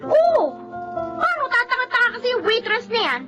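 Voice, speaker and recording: An actress playing a vain, rude young office woman with a sharp tongue and a Filipino accent, haughty and dismissive in a TV drama. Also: very high-pitched, very animated, moderate pace, music in the background. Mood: angry, scolding.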